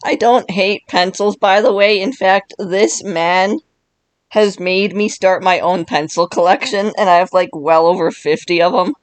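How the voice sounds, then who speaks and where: A young woman with a raspy voice from being sick, chatting casually and laughing often as a YouTuber. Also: medium pitch, a little slow, no room sound. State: sick, voice worn. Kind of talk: casual commentary while chatting with an AI chatbot.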